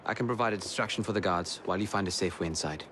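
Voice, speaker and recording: A courteous Victorian gentleman from a video game. His voice sits fairly low and his manner is earnest and considerate, with faint ambience behind. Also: rapid-fire, a little hiss or hum. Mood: devoted. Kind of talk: video game cutscene acting.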